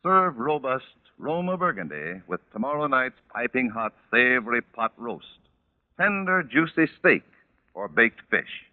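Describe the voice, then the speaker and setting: A man's voice: medium pitch, sing-song, measured pace, dry recording, narrow, tinny audio. A man announcing a 1940s radio commercial with polished, emphatic salesmanship. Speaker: radio announcer and sponsor pitchman. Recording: dry, band-limited vintage radio recording.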